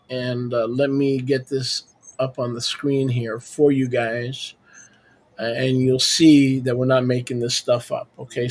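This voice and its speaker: Male religious teacher, medium pitch, didactic and emphatic through repetition, informal online study session. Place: online video call with screen sharing.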